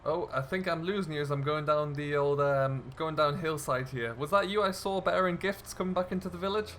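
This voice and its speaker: A man's voice: a little high, a little fast, fairly bright tone, slight background noise. A man giving casual, chatty commentary as host of a role-play gaming video, thinking aloud in an easygoing way.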